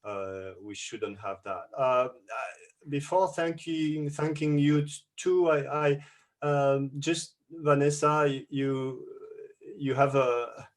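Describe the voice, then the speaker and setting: A man moderating an online webinar over a video call, talking in a halting way with many restarts and fillers. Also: medium pitch. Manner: says a French thank-you.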